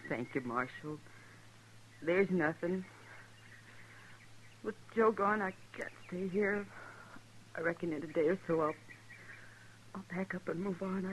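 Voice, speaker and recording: An actress playing a humble, grieving frontier widow with rustic American speech in a 1950s radio western. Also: female voice, fairly low pitch, close to an everyday voice. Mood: lost, halting. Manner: rustic, halting, gracious. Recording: faintly noisy old radio recording.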